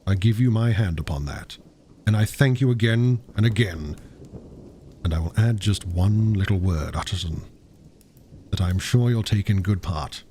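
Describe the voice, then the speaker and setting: A man voicing a troubled, secretive Victorian gentleman doctor in an audiobook, formal, earnest and emphatic, with a husky voice. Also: low voice, normal speed, slight background noise, natural voice. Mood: earnest, reassuring.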